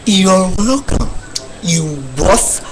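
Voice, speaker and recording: A man voicing a calm, brave little cartoon sheepdog in an amateur fandub, with a deadpan, unhurried delivery. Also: sits high, very noisy, heavily acted. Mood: unruffled.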